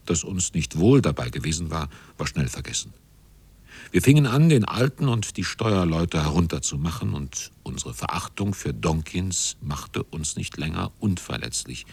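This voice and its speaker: A man narrating a literary radio drama as a measured storyteller. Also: low voice, big pitch swings, vocal fry, a little mellow, slightly rough, dry recording.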